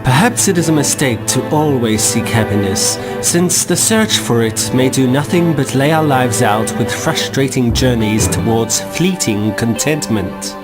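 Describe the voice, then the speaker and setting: Measured wry male narrator over music. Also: mid-range voice, lively intonation. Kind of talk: scripted narration.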